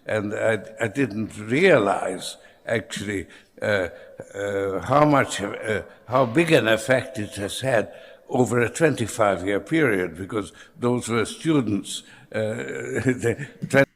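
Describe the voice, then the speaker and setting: An older man speaking at a panel, halting and hesitant, with many pauses and fillers. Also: fairly low pitch. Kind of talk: public panel remarks. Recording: echoey hall recording.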